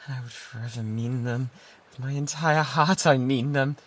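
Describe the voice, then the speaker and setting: A man's voice: mid-range voice, steady tone, normal speed, a bit bright, close to an everyday voice. A British man playing an obsessive, lovesick pirate in a romantic ASMR roleplay, pleading fervently and breathily, close to a binaural microphone. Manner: fervent, breathy pleading that often drops toward whispers. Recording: noticeable background noise and ambience.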